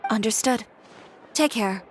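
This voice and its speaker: A woman's voice: on the high side, unhurried, a faint crowd, a little hiss or hum, lightly acted. A woman voicing a proud, curt, self-assured female fashion designer in a video game. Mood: proud, cool. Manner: curt, assertive, composed.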